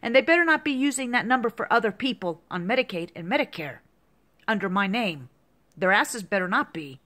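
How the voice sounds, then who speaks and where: A woman's voice: medium pitch, animated, a little fast, pushed and bright. A woman in her fifties in an agitated, repetitive rant to the camera, heavy with profanity. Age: 50s. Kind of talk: angry monologue to camera.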